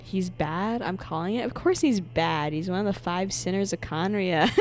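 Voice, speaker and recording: A woman's voice: on the low side, normal speed. A young woman giving casual, slangy, jokey commentary as she reacts to a video game. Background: game music.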